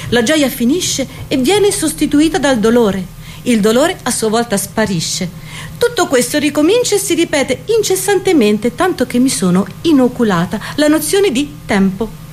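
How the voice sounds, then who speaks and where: A woman's voice: high voice, sing-song, moderate pace, darkish tone, a little hiss or hum. A woman performing a poetic theatrical confession as an exalted, tormented woman, with intense declamatory delivery. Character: exalted, anguished woman confessing torment over beauty and body.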